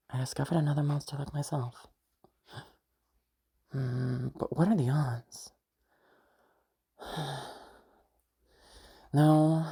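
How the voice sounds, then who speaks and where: A woman playing a friendly young woman with a hidden obsessive side, in roleplay audio addressed to the listener, with a husky, hesitant delivery. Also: extremely low pitch, dark tone, heavily acted. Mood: brooding, musing.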